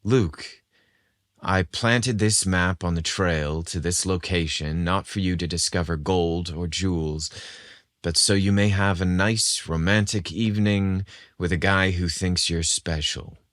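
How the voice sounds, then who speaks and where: A man's voice: deep voice, unhurried, fairly bright tone, no echo, studio quality. A man voicing a shy, nervous, smitten young man in a romantic story, speaking hesitantly. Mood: tender.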